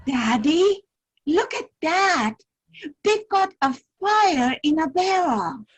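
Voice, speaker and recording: An actress playing a plaintive, sarcastic little daughter in a radio sitcom. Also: female voice, very high-pitched, very animated, takes it slowly, exaggerated voice. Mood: childlike.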